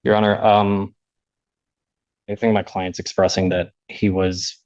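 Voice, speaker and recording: A man's voice: low-pitched, flat delivery, unhurried, a little mellow, no echo. A man arguing in court as a defense attorney, deferential and hesitant in his phrasing. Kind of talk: courtroom argument. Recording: dull, lo-fi courtroom audio.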